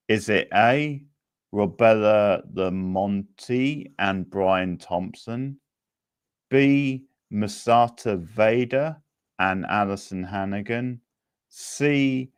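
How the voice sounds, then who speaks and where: A man's voice: low-pitched, clean tone, dry recording, studio quality. A man hosting a casual quiz, chatting and answering participants as he reads out questions. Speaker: quiz host.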